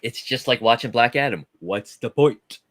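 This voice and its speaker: A man's voice: mid-range voice, normal speed, fairly bright tone. A man in a casual film discussion, talking bluntly and dismissively as a panelist. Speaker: podcast panelist.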